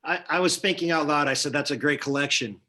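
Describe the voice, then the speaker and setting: A man's voice: a little high, fast, bright, forceful tone. A man bantering casually on a video call in a jokey, enthusiastic way. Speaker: film prop and effects maker. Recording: faintly noisy, clicking video call.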